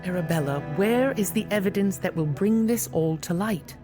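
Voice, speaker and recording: A woman's voice: medium pitch, animated, normal speed, music in the background, natural voice. An actress playing a loyal, motherly guardian, composed and earnest, in an audio drama. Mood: concerned, pressing. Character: loyal, concerned motherly guardian woman, gently pressing.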